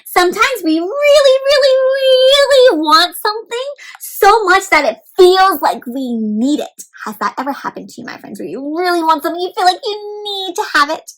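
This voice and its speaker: A woman doing an animated, interactive picture book read-aloud for children, full of questions to the listeners. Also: extremely high pitch, moderate pace, low-quality recording.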